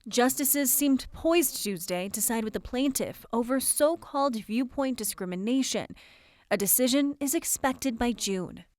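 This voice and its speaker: Higher-pitched American woman reporter. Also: animated, a little slow, a little mellow. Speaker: news reporter. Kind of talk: scripted news narration.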